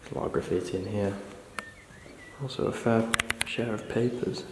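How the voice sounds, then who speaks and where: A British man exploring an abandoned building, talking quietly and observantly through what he finds. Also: on the low side, fairly even intonation, moderate pace, roomy sound, noisy background. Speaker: urban explorer host. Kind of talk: exploring walkthrough with scripted narration.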